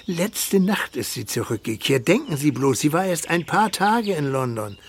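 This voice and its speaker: An actor playing a warm-hearted, humble older fisherman in a radio play, speaking emotional North German dialect. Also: a little high, very animated, moderate pace, vocal fry, slight background noise. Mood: joyful, moved. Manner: rustic dialect speech, emotional.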